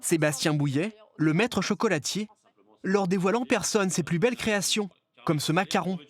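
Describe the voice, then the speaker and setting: A man's voice: high-pitched, moderate pace. A man reading scripted reportage narration as the voice-over of a documentary.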